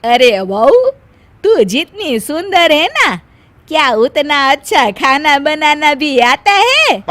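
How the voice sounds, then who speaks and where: A woman narrator voicing a demanding older mother-in-law in a story, drawn-out and teasing. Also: sits high, very animated, fairly bright tone, dry recording, voice pushed up, exaggerated voice. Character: fussy older Gujarati mother-in-law quizzing her new bride. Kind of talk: dramatized story dialogue. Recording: music bed under the voice.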